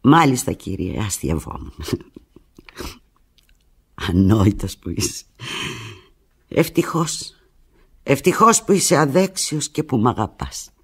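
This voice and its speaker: An actress playing a desperate, lovelorn woman on the telephone to the lover who left her, in a theatrical radio drama monologue, halting and emotional with a breathy, husky voice. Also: rumbling low, sing-song, exaggerated voice. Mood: bittersweet. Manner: halting, emotional, swinging from hushed murmurs to urgent cries.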